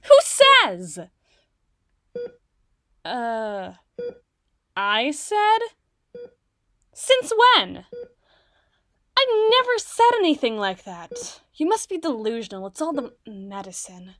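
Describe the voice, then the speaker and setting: A woman playing an obsessive, doting doctor in an ASMR roleplay, speaking softly and articulately with theatrical tenderness. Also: sits high, huge pitch swings, slow pace, long pauses, dry recording, heavily acted. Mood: indignant, defensive. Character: obsessive, doting yandere woman doctor, soothing but possessive. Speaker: audio roleplay voice performer.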